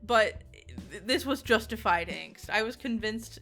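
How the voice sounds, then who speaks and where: Giggly young woman rambling excitedly. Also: fairly high pitch, measured pace, faint music behind. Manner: giggly and excitable, rambles with frequent fillers and restarts. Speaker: game reviewer. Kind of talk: casual spoken review.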